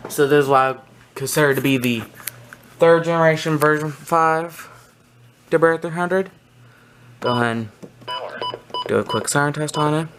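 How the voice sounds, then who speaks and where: A man giving a casual, unscripted show-and-tell in a halting way, often pausing mid-sentence. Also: fairly high pitch, a bit bright. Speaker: hobbyist video presenter. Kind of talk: casual unscripted product demonstration. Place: indoor room with wooden shelves. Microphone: handheld camera's built-in mic. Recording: background noise, amateur handheld camera recording.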